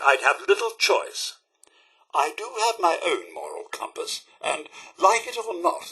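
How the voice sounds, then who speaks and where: A male actor playing a loyal, fussily polite robot butler in a video game, with a British accent and a formal, effusive, theatrical manner in a bright, raspy voice. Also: high-pitched, full character voice. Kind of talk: video game companion dialogue.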